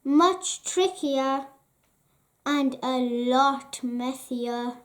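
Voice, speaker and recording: A reader narrating a children's bedtime story in a deliberate, pausing storytelling way. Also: sits high.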